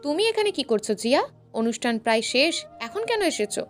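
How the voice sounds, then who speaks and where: Woman acting a high-voiced brooding, wounded young man. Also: sing-song, brisk, faint music behind. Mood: irritated. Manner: curt, wounded, melodramatic. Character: brooding, heartbroken, curt young man in love.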